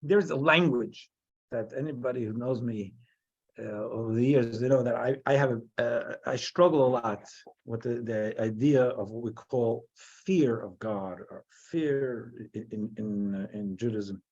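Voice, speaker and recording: A man's voice: medium pitch, measured pace, a little mellow. An American man from New Jersey, a rabbi teaching a Torah class. He halts often, restarting and repeating words as he thinks aloud. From New Jersey, USA. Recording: dull, lo-fi sound.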